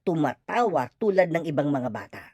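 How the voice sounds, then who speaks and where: A man's voice: fairly high pitch, lively intonation, normal speed, very noisy, voice pushed up, natural voice. A man doing Mel, an eager little girl. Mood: coaxing. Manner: eager, pleading, childlike. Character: earnest little girl child, hopeful.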